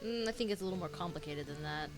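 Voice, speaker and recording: Low-voiced woman streamer reacting casually. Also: very fast. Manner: brief informal interjections. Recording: game music behind the voice. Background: game music.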